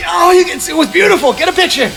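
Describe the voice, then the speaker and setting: A man's voice: extremely high pitch, animated, racing, creaky, raised voice. A man making casual comic riffs as a podcast guest, with playful mock-dramatic interjections. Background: music.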